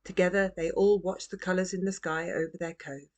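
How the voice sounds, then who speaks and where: A woman narrating a children's storybook as its author, in a gentle, expressive manner. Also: fairly low pitch, fairly quick. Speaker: author reading own book.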